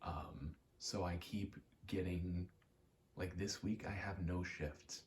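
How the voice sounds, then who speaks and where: A man's voice: sits low, steady tone, takes it slowly, a little mellow, slight background noise. A young man giving a casual, meandering ASMR ramble while gaming, often interrupting himself in an understated way. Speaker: ASMR creator.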